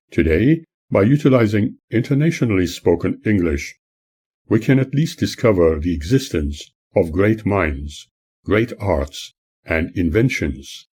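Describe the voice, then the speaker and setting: A man reading scripted audiobook-style narration in a measured, earnest, oratorical way. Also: fairly low pitch, a little mellow, breathy edge. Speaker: author reading own book.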